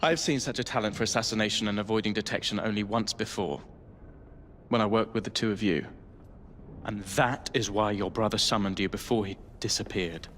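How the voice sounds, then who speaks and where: A man's voice: lowish voice, steady tone, a bit bright, slight background noise, close to an everyday voice. A man as a grave, weary police inspector. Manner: measured, grave.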